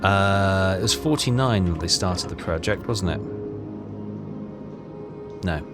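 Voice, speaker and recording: A man with a fairly low voice talks his way casually through a game. He thinks aloud in a puzzled mutter, often questioning himself. Game music plays clearly behind him. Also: slow. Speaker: video game player and commentator. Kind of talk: casual let's play commentary, thinking aloud.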